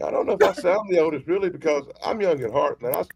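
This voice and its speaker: A man's voice: a little high, lively intonation, fast talker, vocal fry, a crowd in the background. A man in his late fifties on a casual panel chat, laid-back and swearing freely. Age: 50s.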